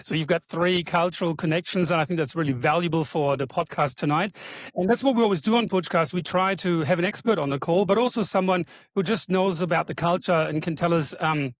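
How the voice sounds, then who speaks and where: A man's voice: fairly high pitch, fairly quick, no echo. A man hosting a podcast, talking in a chatty, rambling way with frequent ums. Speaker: podcast host. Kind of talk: casual podcast interview.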